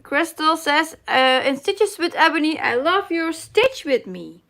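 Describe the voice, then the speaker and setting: A woman's voice: high voice, animated, measured pace, a bit bright. A middle-aged woman with a Dutch accent hosting a casual live chat, reading comments aloud and searching for her English words. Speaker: cross-stitch live stream host.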